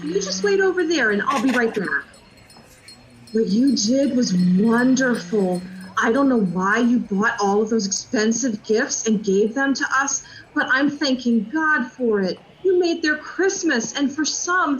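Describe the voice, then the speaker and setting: A woman's voice: high voice, expressive, a little slow, a bit bright, low-quality recording. A woman playing a grateful, caring children's nurse with a tender, earnest manner. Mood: grateful, moved.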